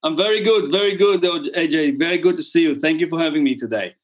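A man's voice: fairly high pitch, fast, poor audio. A middle-aged man with an Israeli accent, explaining things conversationally as an interview guest in a rambling way with repeated words. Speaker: podcast guest, real estate investor.

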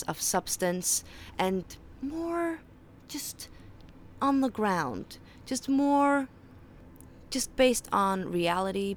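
A young woman with a Faroese accent talks to her listeners in an earnest, conversational way, often restarting her sentences. Her voice sits a little low for a woman. She records outdoors on a balcony, with birds and faint ships behind her. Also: a little hiss or hum. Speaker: podcast host. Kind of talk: casual podcast monologue. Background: birds and distant ships.

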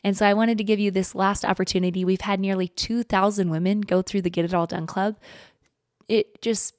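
A woman's voice: medium pitch, steady tone, brisk, a little mellow, no echo. Chatty young woman, dry studio sound. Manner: enthusiastic, chatty. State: slightly croaky voice. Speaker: host. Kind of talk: casual interview and promo. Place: home studio. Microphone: large studio microphone.

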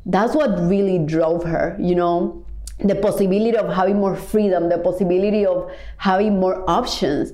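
A woman's voice: fairly low pitch, steady tone, moderate pace, mellow. Earnest Cuban-born woman coach, echoey room. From Cuba. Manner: earnest, stretches key words, builds in repeated phrases. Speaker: life coach, podcast host. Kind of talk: reflective motivational monologue.

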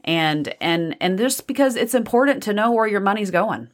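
A woman with a Texas accent co-hosting a casual advice chat, agreeable and quick to laugh. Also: mid-range voice, expressive. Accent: Texan. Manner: agreeable, laughs easily, many short backchannels. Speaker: co-host.

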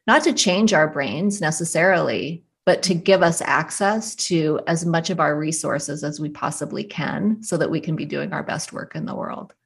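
A woman talking through advice in a thoughtful, unhurried and reassuring way. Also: low-pitched, vocal fry, breathy edge. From US desert region. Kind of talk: casual advice conversation.

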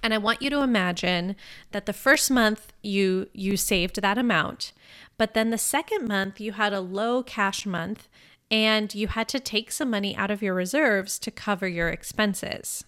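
A woman's voice: medium pitch, measured pace, fairly bright tone, clean tone. Congested upbeat woman podcast host. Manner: upbeat, conversational, often asks "right?". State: congested, slightly groggy voice. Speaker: podcast host and financial coach. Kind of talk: casual coaching monologue.

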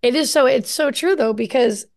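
A woman hosting a casual video-call conversation, chatty and enthusiastic, quick to gush in agreement. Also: sits high, gravelly, darkish tone. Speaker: podcast host. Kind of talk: casual chat.

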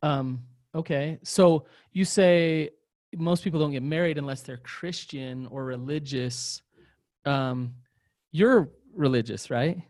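A man's voice: a little high, slow, a little mellow, no echo, clean studio sound. A man co-hosting a podcast, asking probing questions and often restarting his sentences. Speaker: podcast co-host, interviewer. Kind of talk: podcast interview.

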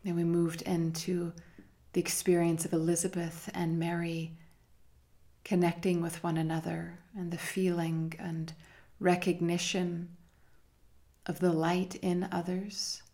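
A woman leading a guided meditation in a measured, hushed manner with long pauses between phrases. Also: lowish voice, flat delivery, vocal fry. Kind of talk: slow guided meditation narration.

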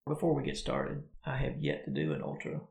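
A man's voice: extremely low pitch, big pitch swings, a little fast, vocal fry, darkish tone, reverberant. A man co-hosting a casual podcast, chatting and telling a story in a conversational way. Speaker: podcast co-host.

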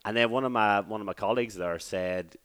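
An Irish man in his thirties, a fitness coach telling his story as a podcast guest in a relaxed, rambling way. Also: lowish voice, brisk, smooth, dry recording. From Ireland. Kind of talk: casual interview chat.

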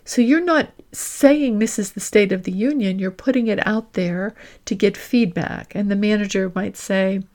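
A woman's voice: mid-range voice, moderate pace, few pauses, a little mellow. A woman working as a career coach and host, explaining directly to the listener in an emphatic, instructional way, with role-played examples.

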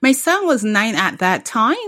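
A woman's voice: a little high, very animated, a little slow, bright, forceful tone, no room sound, clean studio sound. A middle-aged woman from Barbados, a small business founder, telling her story earnestly in a casual interview, often restarting her sentences.

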